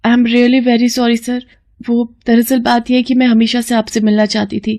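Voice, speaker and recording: A woman voicing an earnest, polite young aspiring model in a moral story, speaking haltingly and mixing English into Hindi. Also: on the high side, flat delivery, a little mellow, pitched above the performer's own voice, natural voice. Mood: frightened, pleading. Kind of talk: dramatised moral-story acting.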